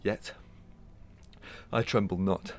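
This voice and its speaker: A man's voice: low voice, fairly even intonation, slow pace, gravelly, faint music behind, exaggerated voice. A man playing a proud, bitter condemned murderer in a Victorian melodrama, brooding and theatrical in archaic diction. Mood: brooding, defiant.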